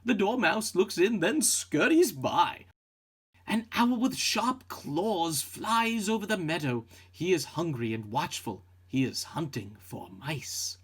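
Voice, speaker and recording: Male storybook reader, slow, measured, slightly husky, expressive, suspenseful narration for children. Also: sits high. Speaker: picture book reader.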